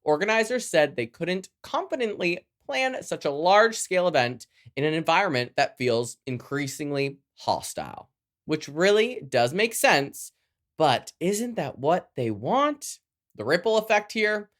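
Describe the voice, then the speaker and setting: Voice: medium pitch, very animated, unhurried, a bit bright. A podcast host with a Canadian accent reading a scripted news round-up with chatty, campy, playful asides. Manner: sassy, playful.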